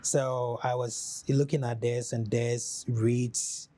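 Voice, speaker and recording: A man with a Ghanaian accent, an artist talking through his work in a thoughtful, halting way, often pausing on "you know.". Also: medium pitch, little pitch movement, dark tone. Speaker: ceramic artist. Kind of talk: reflective studio talk.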